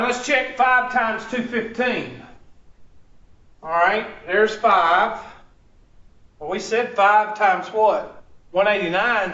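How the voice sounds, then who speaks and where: A man's voice: sits high, sharp tone. A man teaching a math class, working problems aloud and questioning students in a classroom. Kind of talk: classroom lesson. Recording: echoey classroom, dull far-off sound.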